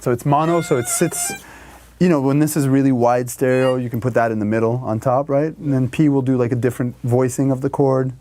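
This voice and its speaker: A man's voice: medium pitch, fairly quick. A man in his thirties casually touring his wood-panelled music studio, rambling and gesturing as he talks. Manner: relaxed, rambling, gestures with hands while talking. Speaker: musician giving a studio tour. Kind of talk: casual chat.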